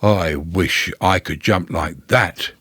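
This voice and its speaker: A man drops into a slow, gentle storybook bear, low and musing. The words come slowly and softly, and the sound is dry and close. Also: breathy edge, no room sound, heavily acted. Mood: wistful. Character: gentle, simple-minded male bear.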